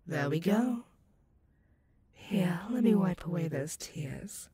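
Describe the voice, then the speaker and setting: A woman's voice: fairly low pitch, lively intonation, mellow, slight background noise. A woman playing an ancient, sadistic and possessive female werewolf demon in a roleplay audio, purring menace and mocking pet names at the listener. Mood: smug, coaxing. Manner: slow, teasing, purring menace with mocking endearments.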